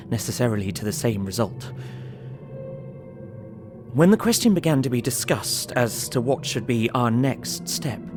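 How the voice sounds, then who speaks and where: Grave young husband, played by a male actor, measured diary narration for audio drama, troubled tone, music underneath. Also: medium pitch, lightly acted. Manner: sombre, measured.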